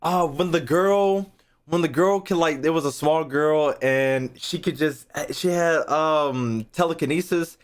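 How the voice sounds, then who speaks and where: A man's voice: a little high, measured pace, smooth. A young American man streaming a game, chatting casually with slang and swearing, often rambling and repeating himself. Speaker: gaming streamer. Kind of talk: casual, profane game reaction chat.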